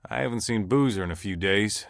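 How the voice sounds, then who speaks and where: A man's voice: fairly low pitch, brisk, creaky, no room sound, clean studio sound. A man acting a folksy, commanding survivor camp leader in a video game, speaking plainly and naturalistically. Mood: sincere, subdued.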